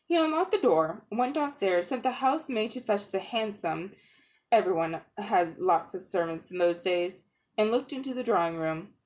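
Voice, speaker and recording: A woman reads a story aloud in a mid-range voice. Her narration is expressive and dramatic, in the manner of a children's audiobook. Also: medium pitch, moderate pace. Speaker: audiobook reader.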